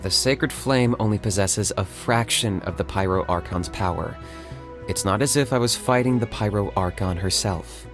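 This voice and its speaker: A man voicing a calm, earnest young warrior. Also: lowish voice, normal speed, over music, natural voice. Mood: measured.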